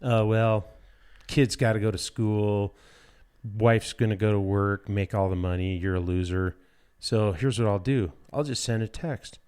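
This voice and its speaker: Podcast guest: American man, lower-pitched, deadpan humor, blunt slangy delivery in casual conversation. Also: measured pace, clean tone. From United States. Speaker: podcast guest, dirt bike rider. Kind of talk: casual chat.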